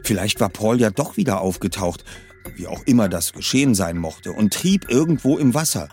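A man's voice: fairly low pitch, expressive, moderate pace, a little mellow, soft background music. A man reading a suspense story aloud in a tense, dramatic narration style. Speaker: reader. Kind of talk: audiobook narration.

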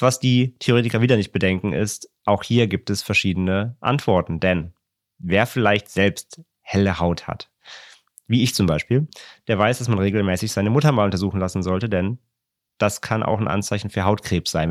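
A man co-hosting a podcast, explaining things in a relaxed, rambling way with many repeats. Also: lowish voice, fairly quick, no room sound, studio quality. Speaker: podcast co-host. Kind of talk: casual podcast chat.

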